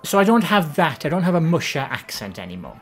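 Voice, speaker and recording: Soft-spoken Welsh woman chatting on stream. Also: sing-song, fairly quick, faint music behind. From Wales, the Valleys. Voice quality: soft, gentle voice with a lower, less feminine tone. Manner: chatty and rambling, with many ums and self-corrections. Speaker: live streamer answering viewer questions. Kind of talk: casual live-stream chat.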